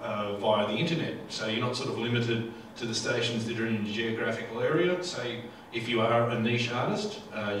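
A man's voice: mid-range voice, a bit bright. A man hosting a panel discussion, recorded at an indoor event in a very echoey room. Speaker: panel moderator. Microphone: handheld microphone. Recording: very echoey room, distant, faint noise.

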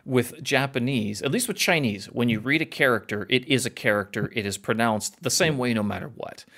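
A man's voice: mid-range voice, fairly quick, pushed and bright. A man from Canada hosting a podcast over a video call, talking casually and rambling, often correcting himself and laughing at himself. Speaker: podcast host.

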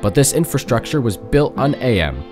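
A man's voice: medium pitch, big pitch swings, normal speed, over music. A young adult man presenting a documentary in scripted narration.

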